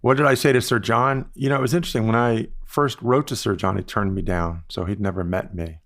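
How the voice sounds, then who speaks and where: Man, 60s, from the Bahamas, low-pitched, measured, reflective interview guest. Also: fairly even intonation, fairly bright tone. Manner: measured, reflective, with frequent "you know" asides. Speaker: guest, investment firm founder. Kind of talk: relaxed storytelling interview answers.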